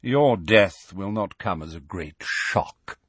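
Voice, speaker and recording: A man's voice: low voice, expressive, slow pace, fairly bright tone, breathy edge, no room sound, heavily acted. A man voicing a cold, arrogant villain who drawls and sneers. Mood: cold contempt.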